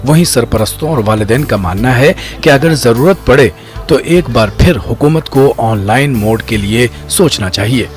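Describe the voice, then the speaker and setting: A man's voice: mid-range voice, lively intonation, normal speed, faint music behind. A man reading scripted news narration in a formal register.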